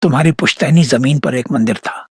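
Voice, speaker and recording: A man playing a grave old village priest in a horror story, with a rough, husky voice and an ominous manner. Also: medium pitch, fairly quick, darkish tone, no room sound, clean studio sound, close to an everyday voice. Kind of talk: dramatized horror story dialogue.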